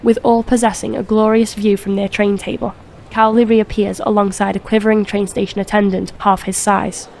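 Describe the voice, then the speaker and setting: A woman's voice: mid-range voice, little pitch movement, moderate pace, creaky, darkish tone, a little hiss or hum, rough recording. A woman reading scripted narration for a crime audio drama.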